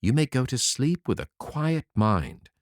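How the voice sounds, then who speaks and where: Man as reassuring young peasant son, lively intonation. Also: mid-range voice, unhurried, no room sound, clean studio sound, pitched above the performer's own voice. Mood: calm reassurance. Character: confident young peasant son soothing his mother.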